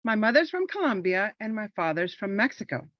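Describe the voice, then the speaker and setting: A woman's voice: mid-range voice, very animated, fairly quick, no echo, dull audio. A woman from Los Angeles whose first language is Spanish, an army veteran performing a personal monologue in an earnest, reflective storytelling manner. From Los Angeles, California.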